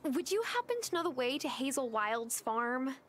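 A woman's voice: sits high, big pitch swings, normal speed, a bit bright, heavily acted. An actor playing a friendly, earnest young woman in a cozy video game, polite and eager to help. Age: young adult.

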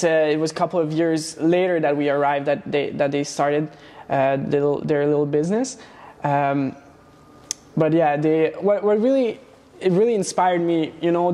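A young man from Romania, a guest in a studio interview, talking casually with many pauses and restarts. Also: a little high, darkish tone. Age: young adult. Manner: hesitant, many fillers and restarts. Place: studio set with plant wall and neon sign. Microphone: lapel mic.

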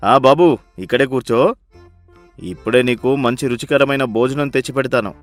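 A man's voice: medium pitch, moderate pace, soft background music, close to an everyday voice. A man playing a miserly, sly rich husband in a story, with a bossy, scolding, sarcastic manner. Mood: falsely kind, sly.

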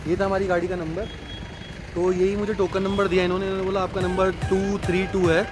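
A man's voice: high-pitched, flat delivery, fast talker, over music. A young man explaining things casually and repetitively to camera as a travel vlogger. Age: young adult. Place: outdoors by roads and parking, later in a parked jeep. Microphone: phone at arm's length.

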